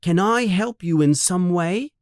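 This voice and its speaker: A woman's voice: on the low side, animated, unhurried, no room sound, clean studio sound, voice pushed up. A woman voicing a polite, bewildered and unhappy schoolboy wizard in a storybook, with an earnest, questioning manner. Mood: polite, concerned.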